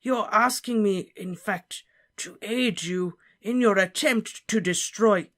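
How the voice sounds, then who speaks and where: A narrator voicing a tipsy, maudlin old man, a plump wizard teacher, with drawn-out, quavering, wheedling lines that sit well above his own voice. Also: mid-range voice, a bit bright, voice pushed up. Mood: anxious, pleading.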